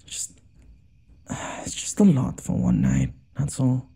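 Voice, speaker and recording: A man playing a gentle, smitten noble lord in an intimate audio roleplay, hesitant and apologetic, stammering and sighing as he talks. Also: mid-range voice, huge pitch swings, measured pace, vocal fry, husky, heavily acted. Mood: apologetic, weary.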